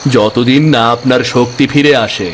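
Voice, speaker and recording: A man voicing a poor, kindly young village boatman in an animated fairy tale, speaking politely and earnestly. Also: mid-range voice, measured pace, a little hiss or hum, natural voice.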